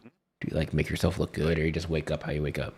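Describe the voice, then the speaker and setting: A man acting as game master, narrating a tabletop game in a casual, halting, improvised and easily amused way. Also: a bass voice, completely flat delivery, a little mellow, slight background noise.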